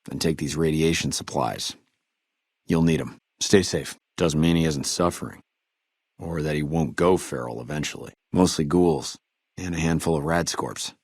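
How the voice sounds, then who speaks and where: An actor playing a weary, dutiful army trooper in a video game, speaking in a measured, earnest soldierly way. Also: male voice, extremely low pitch, slightly rough, no echo, studio quality. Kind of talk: video game dialogue acting.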